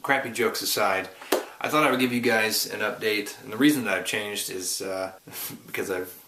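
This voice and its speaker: A man's voice: fairly low pitch, normal speed, background noise. A man from Oklahoma with an Oklahoma accent, rambling and joking in a casual vlog, recorded on a camera mic. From Oklahoma, USA. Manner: joking, rambling, self-deprecating. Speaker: vlogger. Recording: roomy echo.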